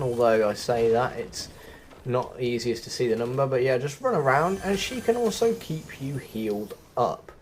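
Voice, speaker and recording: A man giving chatty game quest walkthrough commentary as a host, often correcting himself as he goes. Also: medium pitch. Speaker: gaming video host.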